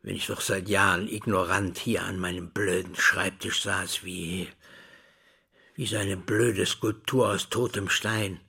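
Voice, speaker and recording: A man's voice: deep voice, steady tone, unhurried, vocal fry, bright, forceful tone, breathy edge, heavily acted. An actor playing a troubled older school director in a drama, stern, insistent and formal as he questions. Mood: despairing. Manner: insistent, interrogating, formal address. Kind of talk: German stage-style radio play dialogue.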